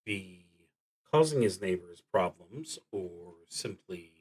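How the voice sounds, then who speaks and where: A man streaming a solo tabletop roleplaying game, thinking aloud and reading out prompts in a halting, deliberate way with long pauses. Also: low voice, expressive, a little mellow, slightly rough.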